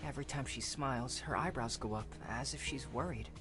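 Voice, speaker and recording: A timid, earnest young character with a notably low voice for a female. The delivery is hesitant and observant, in visual novel style, over clearly audible music. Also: brisk. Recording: prominent music behind the voice.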